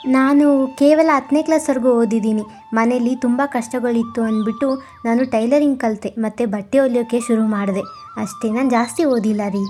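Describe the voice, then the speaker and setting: A woman voicing a gentle, eager young newlywed wife in a cartoon moral story, with a plain, homely manner. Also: a little high, fairly quick, soft background music, close to an everyday voice. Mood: modest, eager.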